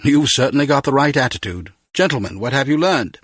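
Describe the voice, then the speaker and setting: An actor playing a calm, fatherly senior intelligence chief in a video game, measured and authoritative. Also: medium pitch, husky, no room sound, studio quality. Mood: calm, encouraging.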